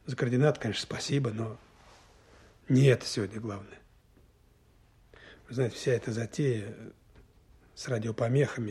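A man's voice: mid-range voice, a little mellow, breathy edge. An actor playing a worried, stubborn lieutenant colonel in a Soviet radio drama, weighing his words as he presses his doubts. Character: worried, stubborn male army lieutenant colonel, questioning superiors.

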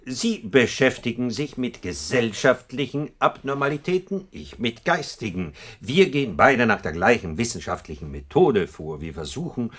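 An actor playing a self-assured, probing psychoanalyst in a German radio drama, eloquent and effusive, with rhetorical emphasis. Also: male voice, mid-range voice, sing-song, normal speed, few pauses, a bit bright. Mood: earnestly persuading.

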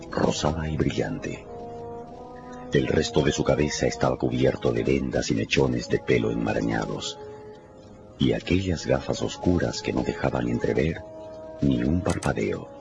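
A man's voice: a bass voice, expressive, moderate pace, gravelly. A man narrating a radio drama from a script in a dramatic storytelling style. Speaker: narrator, announcer. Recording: muffled radio production with music.

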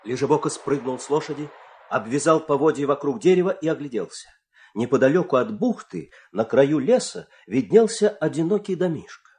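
A man narrating a fairy tale as a dramatic storyteller, expressive and suspenseful, with deliberate pauses. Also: on the high side.

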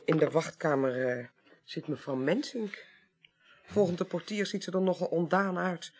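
An actress in a Dutch radio play voicing a tactful, analytical investigator who speaks politely and carefully, with a Netherlands accent. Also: female voice, on the low side, normal speed, a little mellow, slightly rough. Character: tactful, analytical professional woman on an investigating committee. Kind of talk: 1980s radio drama acting.

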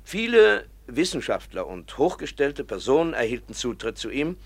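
A man's voice: medium pitch, sing-song, moderate pace. A man acting in a German radio play as an earnest professor and pedagogue, formal and articulate. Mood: measured reading. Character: earnest male professor and pedagogue, devoted to his ward.

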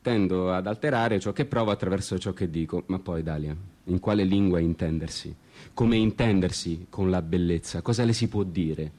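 An actor playing an ironic, lovestruck storyteller in a literary radio play, speaking in deliberate, measured phrases. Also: male voice, fairly low pitch, a little mellow, lightly acted. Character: ironic, disenchanted male storyteller, lovestruck drinker in Prague. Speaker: actor and narrator. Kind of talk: literary radio theatre monologue with sung passages. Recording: guitar music behind the voice.

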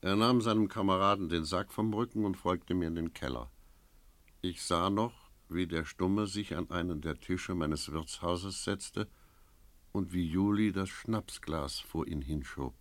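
A low-voiced, sly village innkeeper. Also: male voice, measured pace. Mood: narrating. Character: wry village innkeeper and smuggling dealer, talkative, sly. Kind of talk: German radio play acting and first-person narration.

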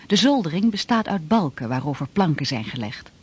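A man narrates in a high, husky voice. The reading is scripted and descriptive, like documentary passages in a radio play. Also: sing-song, fairly quick, darkish tone.

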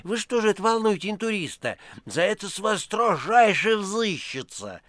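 A man's voice: sits high, big pitch swings, moderate pace, a bit bright, no room sound, pitched above the performer's own voice. A man voicing a sly, mocking choirmaster in a storybook reading, with a jeering, theatrical manner. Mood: needling.

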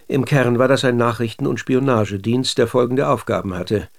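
An actor playing a courteous, probing author who interviews and narrates history in a scripted documentary radio drama, speaking with measured precision. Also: male voice, medium pitch, natural voice. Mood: sober, factual.

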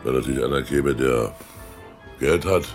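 A gruff old fairground showman with a rock-bottom voice. He is chummy and folksy, slyly haggling in radio drama style, with faint music behind. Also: extremely low pitch, normal speed. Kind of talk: radio play acting.

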